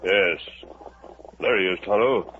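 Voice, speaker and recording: An actor playing a masked frontier lawman, giving steady, firm, reassuring orders in a 1950s radio drama. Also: low-pitched, takes it slowly, phone-quality sound. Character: masked male frontier lawman, calm and commanding. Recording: thin, hissy 1950s radio broadcast.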